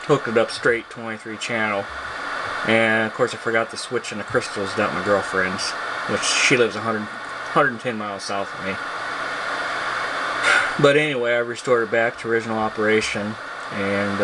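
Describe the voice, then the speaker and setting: A man casually showing off a piece of his collection on camera, speaking in a halting, plainspoken way. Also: lowish voice. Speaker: hobbyist video presenter, radio collector. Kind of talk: casual product show-and-tell, unscripted. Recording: loud steady hum and hiss.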